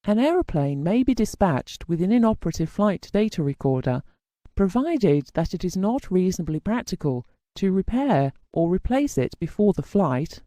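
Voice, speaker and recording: A narrator reading a scripted technical lesson in a measured, formal, explanatory way. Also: mid-range voice, very animated, mellow, no room sound. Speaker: instructional narrator.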